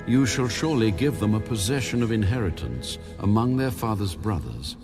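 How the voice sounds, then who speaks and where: A very deep, solemn voice of God, played by a man, commanding and authoritative, with an expressive rise and fall. It delivers lines in an audio Bible drama over prominent music. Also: moderate pace, lightly acted. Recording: music bed under the voice.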